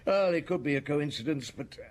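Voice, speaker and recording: Brief: an actor as a deliberate detective inspector, expressive pitch, faint hiss. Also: male voice, natural voice. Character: methodical middle-aged male police inspector. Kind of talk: radio drama acting.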